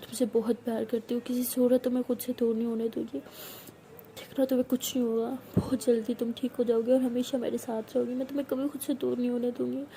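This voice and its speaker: Desperate young woman, tearful and pleading, voiced by a woman storyteller, with a high voice. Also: flat delivery, a little fast, dark tone, natural voice. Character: desperate young woman sobbing over her love.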